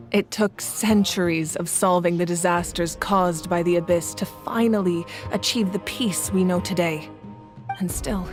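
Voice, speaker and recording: A woman playing a composed, grave ruler in a video game, speaking in measured, deliberate lines. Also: on the low side, close to an everyday voice. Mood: grave, resolute. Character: solemn, composed woman war-god ruler of a nation. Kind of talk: video game voice acting, dramatic exposition. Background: game music.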